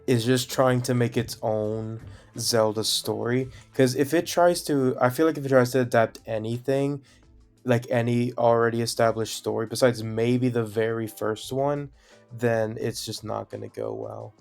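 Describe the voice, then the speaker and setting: A man's voice: medium pitch, fairly even intonation, moderate pace, vocal fry, darkish tone, faint music behind. A young man co-hosting a podcast in casual chat, opinionated and hedging with many fillers. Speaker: podcast co-host.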